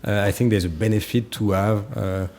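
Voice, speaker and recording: French-accented man, mellow and hesitant. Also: on the low side, fairly even intonation, vocal fry. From France. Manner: halting, hesitant, searching for words. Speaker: political candidate, interview guest. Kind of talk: informal interview answers.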